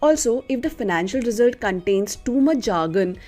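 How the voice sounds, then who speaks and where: A woman's voice: a little high, animated, moderate pace, darkish tone. A woman reading a scripted educational narration as the narrator of an explainer video. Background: background music.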